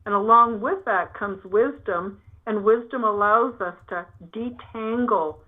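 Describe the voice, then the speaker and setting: Woman guest on an interview, earnest n reflective, mid pitched voice, tinny phone-like call audio. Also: a little slow. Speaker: interview guest, spiritual health coach. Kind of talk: conversational spiritual talk.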